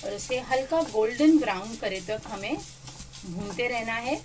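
A woman's voice: fairly high pitch, lively intonation, unhurried, darkish tone, far from the mic, slight background noise. A woman hosting her cooking channel, chatting through a recipe as voice-over and mixing English phrases into her talk.

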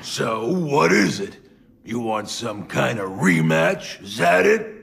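A man voicing a boastful animal bully in an English cartoon dub, gravelly, pompous and taunting. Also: medium pitch, big pitch swings, slow, fairly bright tone, heavily acted. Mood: mocking. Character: boastful bullying animal villain, self-styled king of stink.

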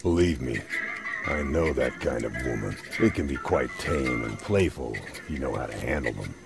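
A gruff pirate in a video game who only wants peace and quiet. The voice is rock-bottom low and full of gravel. He sounds world-weary, deadpan and reluctant, over clearly audible music. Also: normal speed, full character voice. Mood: wary, rueful. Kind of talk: animated video game dialogue.

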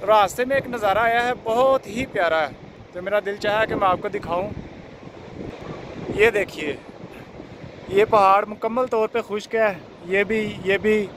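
Higher-voiced man, noisy windy recording. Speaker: travel vlogger. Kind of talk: casual vlog narration to camera. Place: outdoors in an open mountain valley. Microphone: handheld phone. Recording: windy outdoor phone audio, loud noise.

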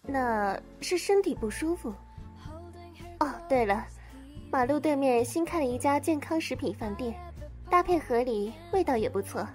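A woman's voice: high-pitched, lively intonation, moderate pace, darkish tone, soft background music. A woman voice-acting an attentive, chatty bookstore employee in a Chinese audio drama. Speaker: voice actor.

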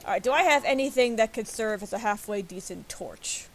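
A woman's voice: fairly high pitch, expressive, fairly quick, slight background noise. A woman in her thirties streaming a video game, chatting casually and relaying messages from chat. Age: 30s.

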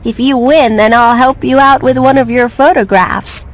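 A high, friendly young female bird voiced by a woman speaks as a video game helper. She is encouraging and explains things plainly. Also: steady tone, normal speed, natural voice, poor audio. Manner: clear, encouraging, instructive. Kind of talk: video game cutscene voice acting.

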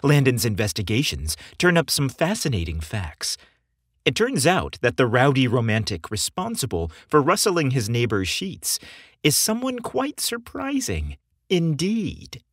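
A man hosting and narrating a scripted story podcast in a theatrical, expressive storytelling style. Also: medium pitch, a little slow, slightly rough, dry recording. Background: music behind the voice.

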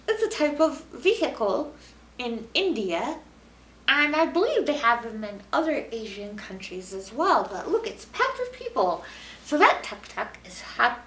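A woman's voice: high-pitched, bright, forceful tone. A middle-aged American woman presenting a children's story time with exaggerated expression, as a librarian talking to young children. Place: indoor library room, seated on a couch. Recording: roomy, distant mic, faint noise.

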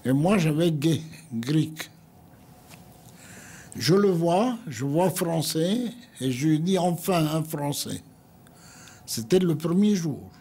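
A man's voice: fairly high pitch, expressive, dark tone, breathy edge. An older man from Greece, recounting his memories unhurriedly in a studio interview and acting out what others said. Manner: unhurried storytelling, acting out others' words in quotes.

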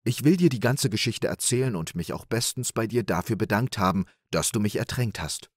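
A man voicing a clever, plucky young peasant in a storybook reading, talking in a raised, lively, boastful way. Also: on the low side, fairly quick, dry recording, clean studio sound.

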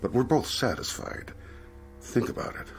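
Silky, animated devil salesman. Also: medium pitch, creaky, soft background music. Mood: grandly tempting. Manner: silky, coaxing, theatrically drawn-out phrasing. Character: suave devil, a wheedling genteel salesman of bargains. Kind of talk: radio drama acting.

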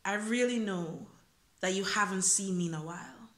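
A woman's voice: medium pitch, normal speed, fairly bright tone, echoey room. A young woman from Jamaica performing a freestyle spoken word poem into a phone camera, earnest and searching, full of questions. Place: small indoor room. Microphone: phone camera close up.

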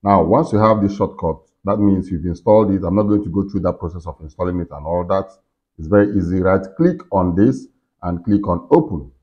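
A man in his forties with a low voice talks through a software tutorial in a casual way. He guides himself and the listener step by step. The recording is slightly dull and lo-fi. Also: a little fast. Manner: talking himself through each on-screen step. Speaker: tutorial host. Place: indoors in a furnished room.

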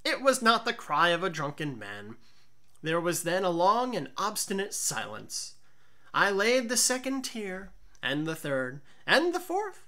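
Bright, animated woman narrating gothic fiction. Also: fairly low pitch, a little slow. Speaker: audiobook narrator. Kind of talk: dramatic gothic storybook narration.

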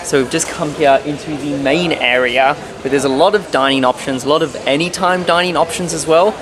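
A man's voice: on the high side, brisk, crowd noise. Chatty young man touring a ship. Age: young adult. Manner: upbeat, chatty tour-guide delivery. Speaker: travel vlogger. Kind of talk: casual travel vlog tour. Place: indoor areas of a cruise ship. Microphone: camera held at arm's length.

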